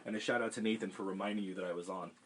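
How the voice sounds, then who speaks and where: A man reading a picture book aloud to children over a livestream, with gentle chatty asides. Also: low-pitched, steady tone, rapid-fire, a little hiss or hum. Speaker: storytime reader for children. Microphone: webcam livestream.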